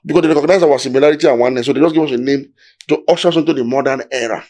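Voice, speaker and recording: A man's voice: medium pitch, racing, darkish tone. A Nigerian man with a Nigerian accent, explaining history in a discussion in an emphatic, lecturing way, building his points step by step.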